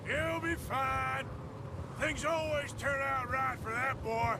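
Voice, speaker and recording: A gruff American outlaw in a western game. His male voice is raised fairly high, with terse, distrustful, blunt phrasing. Loud storm noise surrounds him outdoors. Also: fairly even intonation, slow, full character voice. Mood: doubtful. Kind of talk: cinematic western video game acting.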